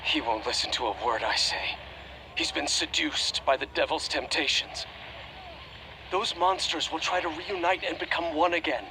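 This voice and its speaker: A man's voice: a little high, normal speed, background noise, lightly acted. A man playing a desperate, remorseful scientist giving a solemn, urgent warning in a game's recorded video message. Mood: urgent, dire. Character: desperate, remorseful adult male scientist leaving a grave warning. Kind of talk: dramatic game dub monologue.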